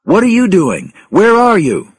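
A man's voice: high voice, unhurried, husky, no echo, clean studio sound, voice pushed up. A man voicing a worried male colleague in an audiobook disaster story, pleading with great urgency. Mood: concerned.

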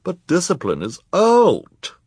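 A man's voice: sits high, wildly swooping pitch, slow pace, a little mellow, breathy edge, no echo, studio quality, pitched above the performer's own voice, heavily acted. A man voicing a vain, scheming woman villain in a storybook, dramatic, indignant and imperious. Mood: indignant, shrill. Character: vain, theatrical, scheming adult female villain.

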